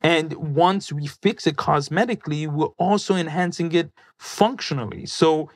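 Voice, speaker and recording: A man's voice: on the high side, normal speed, no room sound. A man, a dentist guest on a podcast, explaining his work in an enthusiastic, salesy manner in casual chat. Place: colorful studio set. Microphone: studio mic on boom arm, headphones.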